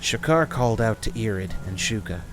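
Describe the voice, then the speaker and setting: A man's voice: fairly low pitch, animated, fairly quick, music in the background. A man reading scripted narration for a fantasy audio drama.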